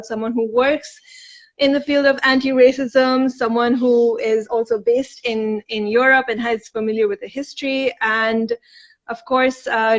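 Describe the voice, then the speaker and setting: A woman hosts a live online conversation and speaks in a friendly, reflective way. Her voice is fairly high. The audio is muffled and lo-fi, as on a video call. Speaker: host from the organizer. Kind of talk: moderating a live talk. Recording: dull lo-fi live stream audio.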